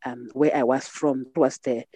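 Mellow middle-aged Zimbabwean woman telling her story. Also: rumbling low, fairly even intonation, normal speed, dry recording. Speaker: podcast guest. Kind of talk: reflective personal storytelling in an interview.

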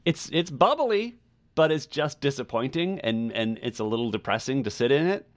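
A man's voice: mid-range voice, animated, normal speed. A man co-hosting a podcast, giving a casual review and searching for his words as he repeats phrases. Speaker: podcast co-host reviewing music. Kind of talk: casual conversational album review.

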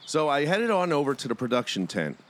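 A man's voice: fairly high pitch, sing-song, a little fast, slight background noise. A man hosting a podcast and narrating a scripted first-person story in a dramatic storytelling manner.

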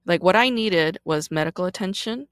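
A woman's voice: fairly low pitch, moderate pace, dry recording, clean studio sound. A woman in her thirties, a podcast host, talking candidly and emphatically in a personal monologue. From South Korea, raised in Brooklyn. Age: 30s. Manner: candid and emphatic, often asking "right?".

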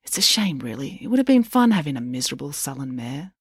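A woman's voice: a bass voice, sing-song, quick pace, husky, no room sound, exaggerated voice. Woman playing a wry, knowing storybook female. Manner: dry, ironic. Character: wry, knowing female explainer, breezily ironic. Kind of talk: storybook character voice.

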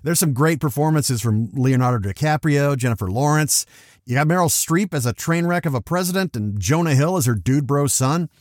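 A man's voice: mid-range voice, no echo, clean studio sound. A man hosting a solo podcast of scripted reviews and commentary, with a theatrical, playful, emphatic delivery. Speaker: podcast host.

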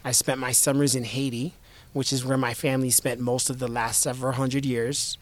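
A man in his thirties from the United States, an investor guest talking reflectively in an interview, in a measured way with repeated starts. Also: medium pitch, little pitch movement. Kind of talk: conversational interview.

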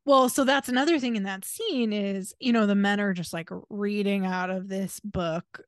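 A woman's voice: medium pitch, normal speed, no room sound, studio quality. An American woman co-hosting a casual podcast, speaking in a wry, emphatic, opinionated manner. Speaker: podcast co-host.

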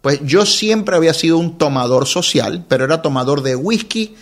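A man in his forties from Ecuador, chatting as an interview guest, telling stories in an animated way and laughing often. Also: fairly high pitch, moderate pace. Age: 40s. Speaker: podcast guest, wine content creator. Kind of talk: casual interview storytelling.

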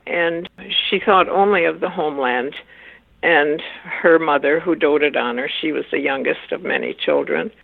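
Older woman, gravelly voice on a tinny line. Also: sits low, no echo. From Wisconsin, USA. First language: Swiss German. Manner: measured, thoughtful, searches for words. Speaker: interview guest, author and family therapist. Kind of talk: reflective interview answers.

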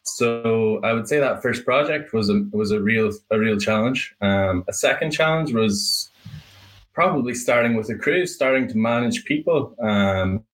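A man's voice: lowish voice, a little slow, poor audio. A young man with an Irish accent, a business owner answering interview questions in a reflective way, with many fillers and restarts. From Ireland. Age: young adult. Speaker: guest, painting business owner. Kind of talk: podcast interview.

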